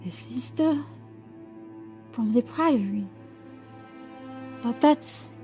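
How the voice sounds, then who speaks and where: A woman acts out a medieval prioress in a horror tale, frightened and pleading. Her voice is soft-edged and mellow, and she protests her innocence over background music. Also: on the high side, expressive, drawn-out words. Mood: confused, shaken. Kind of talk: dramatised horror audiobook acting.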